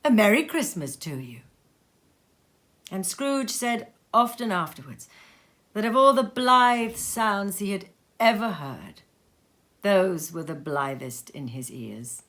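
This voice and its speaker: A woman reads a classic tale aloud from her sitting room, lively and expressive. Her voice is bright and clear, with a crisp edge. The pitch sits in a middle range. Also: slow pace. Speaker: reader. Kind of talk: audiobook reading of a classic tale.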